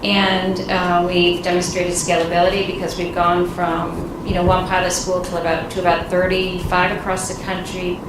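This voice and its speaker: A woman's voice: fairly low pitch, completely flat delivery, moderate pace, hardly any pauses. A woman, a nonprofit founder, giving an earnest, informal talk on a conference panel. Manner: earnest, informal, with fillers and light laughs. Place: conference room. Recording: echoey room, distant, noisy.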